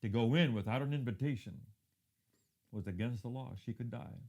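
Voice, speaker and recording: A man in his fifties who grew up in Thailand, giving a reflective devotional talk built around a personal story and often dropping to a hushed tone. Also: mid-range voice, normal speed. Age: 50s.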